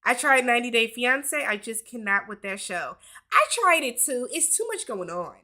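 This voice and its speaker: A woman's voice: on the high side, big pitch swings, normal speed, bright, forceful tone. A woman in her forties from Wisconsin, hosting a casual livestream Q&A, chatting loosely, laughing often and reading viewer comments aloud. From Milwaukee, Wisconsin. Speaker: YouTube host.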